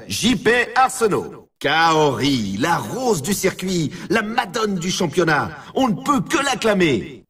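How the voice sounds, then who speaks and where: A husky, high-flying, grandiose sports announcer. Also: male voice, a bit bright, recorded from a distance, exaggerated voice. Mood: dramatic introductions. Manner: theatrical, grandiose, hyping the event. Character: excitable, grandiose male snowboard championship announcer. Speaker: sports announcer in a video game. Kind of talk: scripted dramatic sports commentary.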